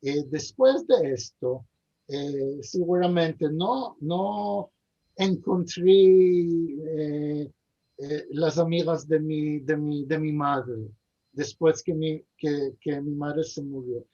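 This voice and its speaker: A man being interviewed over a video call, speaking haltingly with many pauses, fillers and repeated words. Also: on the high side, dark tone. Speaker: interview guest. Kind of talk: hesitant conversational interview. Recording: dull lo-fi video call audio.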